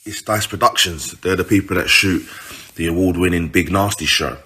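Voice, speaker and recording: A man's voice: low voice, normal speed, bright, forceful tone. A man with a British urban accent telling a story casually to his followers, informal, slangy and sweary.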